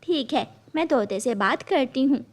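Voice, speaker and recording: A woman's voice: sits high, lively intonation, unhurried, slight background noise. A woman voicing a fair-minded female bird in a cartoon, reasoning firmly with others.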